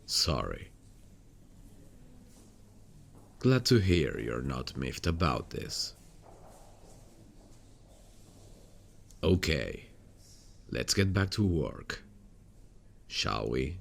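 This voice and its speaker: Very deep, gravelly, weary detective, acted by a man. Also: huge pitch swings, slow, a little hiss or hum, heavily acted. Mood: apologetic, friendly. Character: weary, haunted male detective hunting a serial killer. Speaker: ASMR roleplay voice actor. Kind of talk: ASMR roleplay, intimate dramatic acting.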